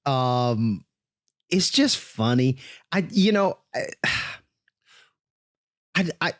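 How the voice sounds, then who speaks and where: A man co-hosting a podcast in a laid-back, casual way, quick to laugh. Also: medium pitch, sing-song, fairly bright tone, no echo, studio quality. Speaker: podcast co-host.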